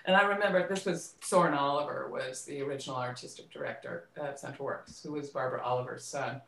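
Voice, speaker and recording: A middle-aged woman in a conversational interview, recalling things haltingly and repeating words as she thinks. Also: very deep voice, vocal fry, fairly bright tone. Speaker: guest, theater director and actress. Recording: roomy, echoing voice pickup.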